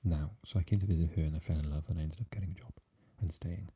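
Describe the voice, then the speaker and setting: A man with a Swansea Welsh accent, chatting softly with live viewers and often dropping into an unvoiced whisper. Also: fairly even intonation, racing, slightly rough. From Wales. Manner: mixes unvoiced whispering with low, deep speaking. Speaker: ASMR live streamer reading chat. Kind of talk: soft-spoken live chat with viewers.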